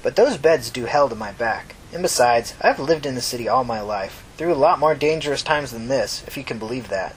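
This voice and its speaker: A man's voice: mid-range voice, expressive, brisk, hardly any pauses, a little hiss or hum, close to an everyday voice, rough recording. A man voicing a tired, solemn, talkative old woman with a folksy, earnest manner in a fiction reading. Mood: insistent, kindly.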